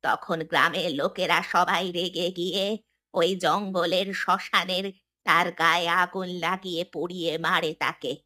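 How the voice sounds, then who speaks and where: A grave old village healer, acted by a woman in a horror cartoon. She talks slowly and ominously, with a bright, forceful voice that sounds wary and knowing. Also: lowish voice, steady tone. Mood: ominous warning. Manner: ominous, deliberate storytelling.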